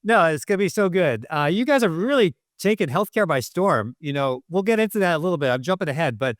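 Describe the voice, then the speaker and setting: A man's voice: a little high, animated, fast talker, dry recording, studio quality. A man hosting a podcast, enthusiastic and conversational as he welcomes and questions a guest. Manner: enthusiastic, conversational, uses "you know". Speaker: podcast host. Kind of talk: casual interview hosting.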